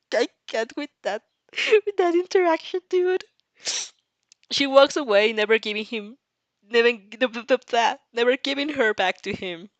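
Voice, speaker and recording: A woman's voice: a little high, sing-song, unhurried, no room sound, highs cut off. A woman casually reading fan fiction aloud and commenting as a reaction host, playful and exclamatory, easily breaking into giggles.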